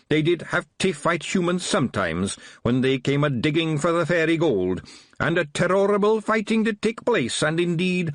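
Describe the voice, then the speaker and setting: A man's voice: no room sound, clean studio sound. A man voicing a tiny, fierce, long-winded male pictsie policeman with a put-on Scottish accent, in a storybook reading. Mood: earnest. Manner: excitable, high, loud and long-winded.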